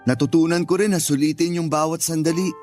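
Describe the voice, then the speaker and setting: Gentle schoolteacher younger brother, played by a male actor: high male voice, earnest, affectionate, light music bed. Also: fairly even intonation, quick pace, lightly acted. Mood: earnest, reflective. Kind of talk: sentimental radio drama dialogue.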